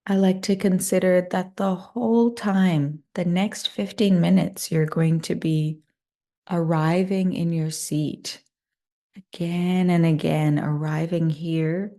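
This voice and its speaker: A woman leading a guided meditation in a soothing, unhurried and gently inviting manner. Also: lowish voice, steady tone.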